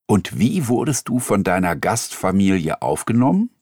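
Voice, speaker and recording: Lively male studio interviewer. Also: lowish voice, normal speed, breathy edge, no echo. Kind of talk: scripted interview reading.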